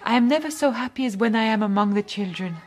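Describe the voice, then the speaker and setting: High-voiced devoted, chatty nun played by a woman. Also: quick pace, slight background noise, lightly acted. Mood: fond, reminiscing. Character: devoted, chatty French nun, gently self-reproaching. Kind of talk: radio drama acting.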